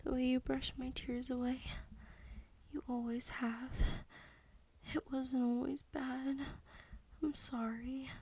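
High, soft, breathy whispering woman. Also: level tone, slow. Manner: breathy whispering, close and hushed; pleading, submissive tone. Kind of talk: intimate adult audio roleplay.

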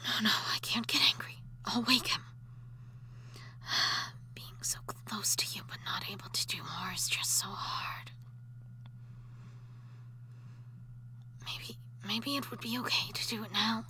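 A woman voicing an obsessive, jealous wife who has come back from the dead, in an audio drama that moves between intimate whispering and shrill shouting. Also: unhurried, a little hiss or hum. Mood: seething, nervous. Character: obsessive jealous undead wife, possessive and unstable.

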